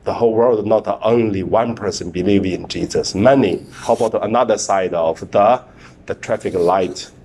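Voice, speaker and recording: A man, a priest whose first language is Mandarin, preaching a sermon in halting speech with many fillers and repeated words. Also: on the low side, a little mellow, slight background noise.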